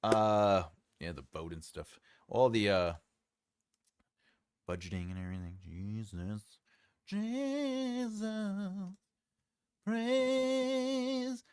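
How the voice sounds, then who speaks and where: A man talks loosely and warmly to his livestream viewers, with a lively, swinging pitch. His speech wanders with fillers and jokes. The sound is dry and close to the mic. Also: drawn-out words, pauses often, clean tone, no echo. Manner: rambling, joking, many fillers. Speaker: author hosting a writing livestream. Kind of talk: casual chat reading viewer comments.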